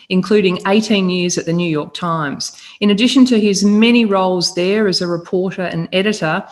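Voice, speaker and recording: A woman hosting an interview podcast, enthusiastic as she welcomes her guest and reads his bio. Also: mid-range voice. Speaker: podcast host.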